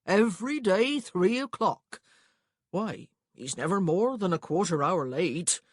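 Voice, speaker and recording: A woman's voice: lowish voice, very animated, a little slow, no room sound, clean studio sound, voice pushed up. A woman voicing a nosy, officious male stagecoach clerk in an audiobook, chatty and rambling. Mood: easygoing, long-winded. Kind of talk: acted audiobook dialogue.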